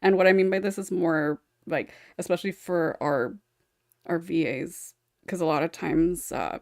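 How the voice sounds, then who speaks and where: Low-pitched, creaky woman podcast host. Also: normal speed, a little mellow, no echo, clean studio sound. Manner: rambling, chatty, often chuckling as she talks. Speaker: podcast host and script writer. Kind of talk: casual panel discussion.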